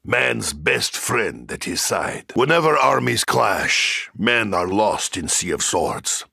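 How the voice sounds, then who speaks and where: An actor playing a gruff, angry, philosophical Russian soldier in a video game, with a thick Russian accent, a rough voice and declamatory broken English. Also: male voice, on the low side, very animated, takes it slowly, bright, forceful tone, dry recording, clean studio sound, full character voice. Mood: grave, brooding. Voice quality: gravelly, rough. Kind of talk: video game character acting.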